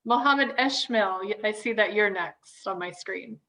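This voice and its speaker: A woman's voice: mid-range voice, measured pace, bright, forceful tone. A woman hosting an orientation video call, calling on each person in turn with a friendly, upbeat manner. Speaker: orientation host.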